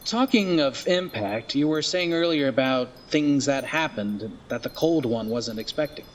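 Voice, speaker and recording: A man's voice: mid-range voice, lively intonation, measured pace, a little hiss or hum, close to an everyday voice. A man playing a modest, curious young apprentice storyteller in a fantasy audio drama.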